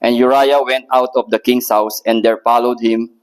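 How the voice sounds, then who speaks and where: A man with a Filipino-British accent preaching in a church, his voice sitting at an ordinary middle pitch. He talks in a nervous, hesitant way, with lots of "uh" pauses, as he reads a Bible passage. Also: level tone, clean tone. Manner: nervous, hesitant, many filler ums. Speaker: guest preacher. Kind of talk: sermon with scripture reading.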